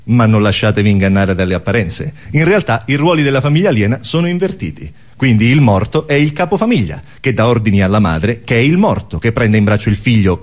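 A man performing scripted absurdist theatre with a mock-solemn, deliberate, declamatory delivery. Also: mid-range voice. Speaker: stage performer.

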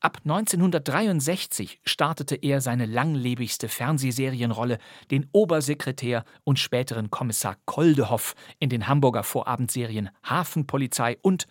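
A man's voice: medium pitch, moderate pace, hardly any pauses, dry recording, studio quality. A man hosting a podcast in scripted, presenter-style narration. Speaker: podcast host.

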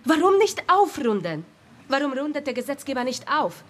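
A woman's voice: high voice, very animated, normal speed. An actress playing a pushy married woman pleading with officials, urgent and formally polite yet insistent, in a German radio play. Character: pushy, pleading married female petitioner with documents. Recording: studio radio play with clear background noise.

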